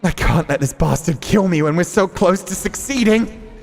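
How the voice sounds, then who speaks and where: A man's voice: high-pitched, animated, normal speed, vocal fry, faint music behind, pitched above the performer's own voice, exaggerated voice. A man voicing a hot-headed, foul-mouthed young rebel swordsman in a visual novel, defiant and intense. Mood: tense.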